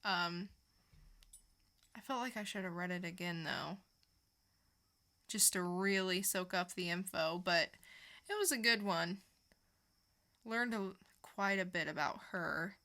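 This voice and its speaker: A woman's voice: fairly low pitch, slow, pauses often, pushed and bright. A woman co-hosting a podcast, giving casual, informal opinions in a review chat. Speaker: podcast co-host.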